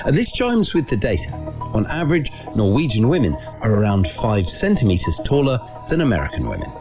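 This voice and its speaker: Guy narrating and interviewing, really animated deep-ish voice, kinda lo-fi audio. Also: brisk, music in the background. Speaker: documentary presenter and interviewer. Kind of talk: scripted narration and casual interview questions. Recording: muffled, lo-fi radio sound.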